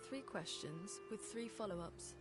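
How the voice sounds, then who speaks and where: A woman's voice: medium pitch, animated, measured pace, lightly acted. An actress playing a serious, wary woman on an expedition in a video game cutscene, direct and probing. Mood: firm, negotiating. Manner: direct, pointed questioning. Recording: loud music behind the voice.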